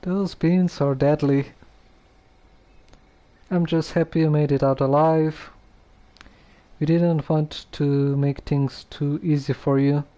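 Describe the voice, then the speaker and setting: An actor voicing a calm, reassuring young male traveler in a video game, measured and understated. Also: fairly high pitch, lo-fi sound. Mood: dry, relieved. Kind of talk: English video game voice acting.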